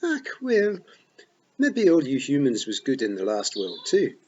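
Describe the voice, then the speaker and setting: A man's voice: mid-range voice, huge pitch swings, unhurried, muffled recording, a little hiss or hum, voice pushed up. A man doing a rowdy wee pixie chief with a brogue. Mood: hearty. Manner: loud, rough, gleeful brogue. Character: boisterous tiny Scottish-style pixie chief, male.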